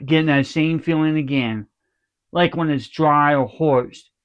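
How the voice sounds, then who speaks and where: Congested man with a soft, smooth voice. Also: a little high, unhurried, no room sound. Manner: speaking softly to spare his throat; meandering, circles back and repeats himself. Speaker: channel host. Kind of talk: casual rambling update to viewers. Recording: dull lo-fi dry recording.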